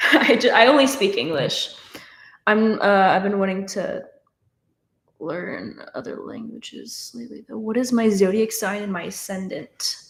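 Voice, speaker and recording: A woman of nineteen talks through viewer questions on a live stream, loose and chatty, trailing off and restarting as she goes. Her voice sits at a middle pitch. The room around her is echoey. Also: expressive, a little slow, creaky. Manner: casual, chatty, many fillers and restarts. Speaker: streamer answering chat questions. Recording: roomy echo.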